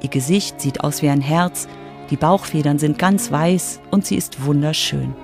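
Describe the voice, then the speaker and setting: A woman's voice: deep voice, normal speed, creaky, over music. A woman reporter reading scripted narration for a children's radio feature.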